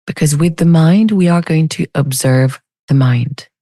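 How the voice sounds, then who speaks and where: A woman leading a guided meditation as a teacher, speaking in a soothing, unhurried, gently inviting way. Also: sits low, no room sound, studio quality.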